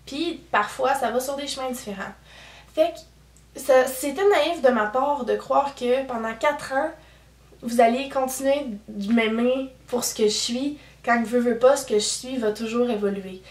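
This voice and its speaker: An 18-year-old woman with a strong Québécois French accent talks candidly to her camera, loose and full of fillers. Her voice sits fairly high. The sound is roomy and a bit distant, like a camera set back in a room at home. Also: a little slow. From Quebec. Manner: chatty, candid, lots of fillers. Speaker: YouTuber. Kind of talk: casual vlog chat.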